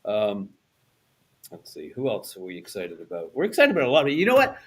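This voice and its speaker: A man's voice: on the low side, wildly swooping pitch, fairly quick, husky. A man in casual fan banter, talking in an enthusiastic, joking way. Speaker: radio show personality and guest.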